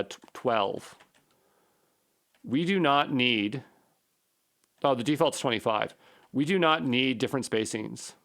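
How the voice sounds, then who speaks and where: Guy doing a coding tutorial, mid-pitched voice, kinda muttering to himself while he works it out. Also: fairly bright tone. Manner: muttering, repeats short phrases while puzzling through. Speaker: programming instructor. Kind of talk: unscripted screen-share coding walkthrough, thinking aloud.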